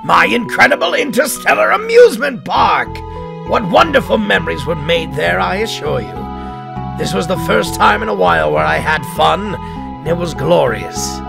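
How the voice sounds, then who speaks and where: A man playing a surly, boastful middle-aged mad doctor in an audio drama, pompous and melodramatic, with a gravelly voice. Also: high voice, big pitch swings, moderate pace, heavily acted. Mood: gleeful, wistful. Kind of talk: theatrical audio drama acting. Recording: music behind the voice.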